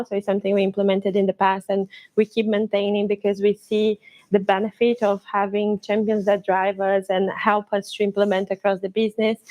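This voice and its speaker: A woman's voice: medium pitch, a little mellow, low-quality recording. Brazilian woman giving a conference talk. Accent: Brazilian Portuguese. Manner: slightly halting, with restarts and fillers. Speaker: conference presenter. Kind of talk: conference presentation.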